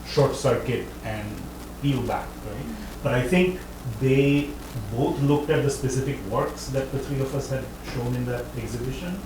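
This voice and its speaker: A man, an author, answering an audience question at an academic talk, thinking aloud and often correcting himself. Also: medium pitch. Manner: thoughtful, hedging, self-correcting. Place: lecture room. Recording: echoey room, distant mic, background noise.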